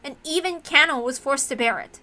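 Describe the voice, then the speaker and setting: A woman's voice: high voice, a little fast, sharp tone. A woman voicing a blunt, passionate young heiress, defiant and emphatic, speaking in slangy lines as in a visual novel. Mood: anguished resolve.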